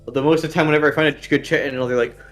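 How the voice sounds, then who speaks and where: A man's voice: fairly high pitch, fairly even intonation, racing, a bit bright, soft background music. A young man with a nasal voice, chatting casually on a live stream, rambling with many ums and restarts. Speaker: stream co-host.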